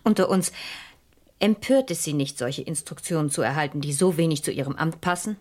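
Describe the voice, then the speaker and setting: Composed melancholy lady, low female voice, dry studio sound, formal, deliberate, probing, reflective radio drama. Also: a little mellow, dry recording. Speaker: actress and narrator in a radio crime drama. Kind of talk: German radio play acting with reflective narration.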